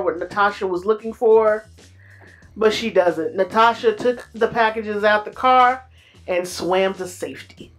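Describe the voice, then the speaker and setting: A woman recapping a movie in a casual, animated way, full of slang and playful exclamations. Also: on the high side. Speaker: movie reviewer.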